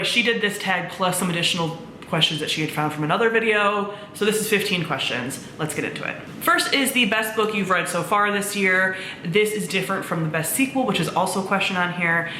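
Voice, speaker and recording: Bright-voiced, enthusiastic woman chatting casually. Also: low-pitched. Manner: enthusiastic, informal with swearing and frequent "like". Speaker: book vlogger, presenter of own channel. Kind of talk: casual chatty vlog talk to camera. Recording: roomy echo, faint background noise.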